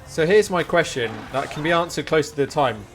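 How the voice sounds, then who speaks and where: A man's voice: a little high, lively intonation, fairly quick. A man live-streaming a game, commenting casually as he plays, thinking aloud, asking questions and reading menu items out. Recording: music playing behind. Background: guitar music.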